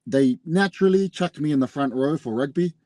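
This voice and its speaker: A man's voice: fairly high pitch, fairly quick, warm tone, no echo, clean studio sound. A young man with a New Zealand accent, a pro wrestler chatting casually as a podcast guest and calling everyone "bro". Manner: laid-back, says "bro" often. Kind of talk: casual interview chat.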